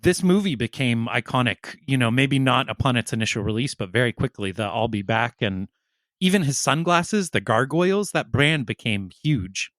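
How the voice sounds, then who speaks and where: Chill podcast dude musing on old movies, mid voice, dry sound. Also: male voice, medium pitch, expressive, normal speed, a bit bright, no echo. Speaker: podcast co-host. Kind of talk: casual film discussion.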